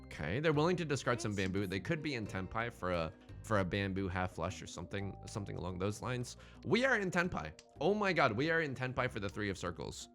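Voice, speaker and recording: A man's voice: fairly low pitch, big pitch swings, fairly quick, a bit bright, soft background music. A man hosting a gaming let's play in casual, bantering commentary, thinking aloud and often repeating his phrases.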